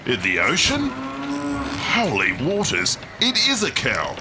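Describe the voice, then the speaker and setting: An actor playing a greedy, theatrical squid-boat skipper in a comic radio drama. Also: male voice, mid-range voice, huge pitch swings, measured pace, background noise. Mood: scheming. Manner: theatrical, exclamatory.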